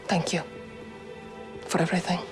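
A woman's voice: moderate pace, music in the background, close to an everyday voice. A woman playing a proud young rebel commander in a video game, intense and solemn. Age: young adult. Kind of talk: cinematic video game acting.

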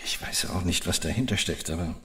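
An actor playing a reassuring, apologetic male ambassador who speaks softly and hesitantly in a radio drama. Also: low voice, fairly quick.

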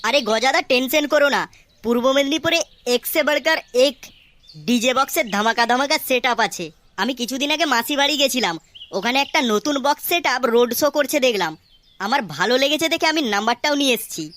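A woman with a West Bengali accent voicing a cheeky, excitable young village sidekick in an amateur comic cartoon. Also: high-pitched, fast talker. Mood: reassuring.

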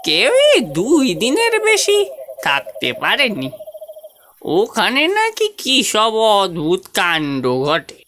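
A woman voicing a superstitious, fearful villager in a horror cartoon, with exaggerated, drawn-out delivery. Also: mid-range voice, wildly swooping pitch, noisy background. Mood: wary, warning.

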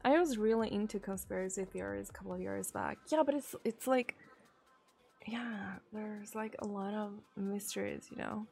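A woman in her thirties from Sweden with a Swedish accent, chatting casually and giggling as she reads viewer messages on a live stream from a small room, into a desk mic on a boom arm. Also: vocal fry, a little mellow, soft background music. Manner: giggly, chatty, drawn-out pauses.

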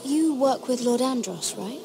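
An actress playing a composed young noblewoman in a fantasy game, measured, polite and probing. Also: high-pitched, lively intonation, creaky, background noise, exaggerated voice. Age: young adult. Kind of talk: dramatic fantasy game acting.

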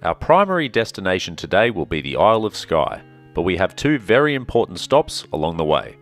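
A man narrating his travel vlog in a calm, measured, scripted way. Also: sits low, faint music behind. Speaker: travel vlogger.

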